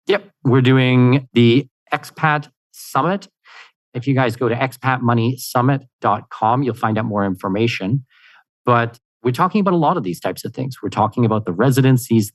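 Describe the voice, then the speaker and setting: A man talks as a podcast guest, keen and lively, with casual fillers scattered through. His voice sits at an ordinary middle pitch and sounds dry and close, with no room around it. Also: no echo. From Canada. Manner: energetic and upbeat, many "you know" fillers. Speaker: guest, expat consultant. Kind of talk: conversational interview answers.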